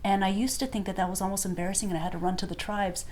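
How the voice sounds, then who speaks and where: A woman speaking reflectively in an interview, pausing often with hesitations. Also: mid-range voice, fairly even intonation, a little mellow, a little hiss or hum. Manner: thoughtful, many hesitations.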